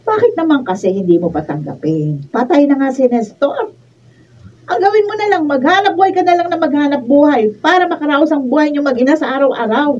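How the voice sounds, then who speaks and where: A woman's voice: high-pitched, expressive, a little fast, a little mellow, distant mic. A Filipino woman acting in a radio drama as an exasperated, bluntly practical friend who scolds. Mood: exasperated, scolding.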